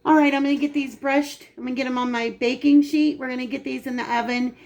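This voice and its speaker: A woman hosting a home cooking video, her voice dry and raspy today, chatting casually as she explains each step. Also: high voice, fairly even intonation, fast talker, darkish tone, muffled recording. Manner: chatty, explains steps while working. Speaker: cooking channel host. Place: home kitchen.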